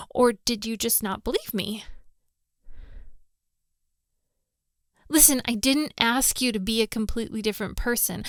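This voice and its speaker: A woman's voice: fairly high pitch, a bit bright, dry recording, studio quality, lightly acted. A woman playing a hot-tempered, lovestruck young daughter of a mafia boss in a girlfriend roleplay audio drama, exasperated and scolding. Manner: scolding, exasperated, with sighs and breathy asides.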